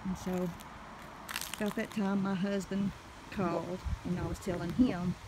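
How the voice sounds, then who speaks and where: A woman with a Southern US accent telling a story casually in a folksy, animated way, recorded on a handheld phone outdoors. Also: lowish voice, measured pace, background noise. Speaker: homestead vlogger. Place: outdoors in a wooded rural yard.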